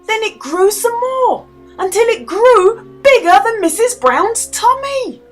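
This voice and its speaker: A woman reading a children's storybook aloud as a storyteller, with dramatic emphasis and deliberate pauses for young listeners. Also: very high-pitched, expressive, soft background music. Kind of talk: expressive children's storybook read-aloud with quiz.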